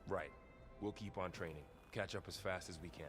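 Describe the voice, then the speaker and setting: An actor playing a calm, earnest and supportive warrior companion in a video game. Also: male voice, low voice, normal speed, faint music behind. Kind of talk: video game cutscene acting. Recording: long echoing hall reverb.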